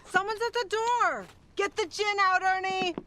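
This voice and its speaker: An actress playing a brassy, tipsy, excitable wife who calls out across the house in a comedic audio drama. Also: female voice, extremely high pitch, measured pace, heavy background noise. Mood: flustered. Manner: calling out, excitable. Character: bustling, brassy tipsy wife hosting friends.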